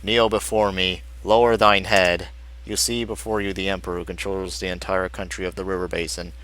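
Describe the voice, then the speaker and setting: A man's voice: low-pitched, flat delivery, brisk, a bit bright, rough recording. A man voicing an ancient, withered undead emperor from a fantasy game, pompous and commanding in archaic speech. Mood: imperious, defiant. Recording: music behind the voice.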